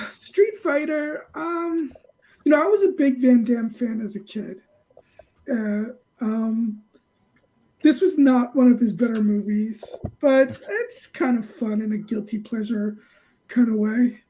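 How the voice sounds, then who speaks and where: A woman on a panel giving her film opinions casually, in a measured, hedging way. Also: fairly high pitch, dark tone, low-quality recording. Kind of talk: casual chat.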